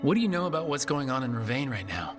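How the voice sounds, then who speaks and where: Pragmatic male party leader for a fantasy game, played by a man; mid-pitched, resolute, faint music. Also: big pitch swings, fast talker, big-room sound, natural voice. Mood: businesslike. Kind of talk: video game dialogue.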